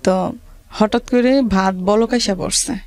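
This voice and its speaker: A woman with a rural Bangladeshi accent, telling her life story to an interviewer in halting, repetitive dialect. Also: medium pitch, darkish tone, no room sound.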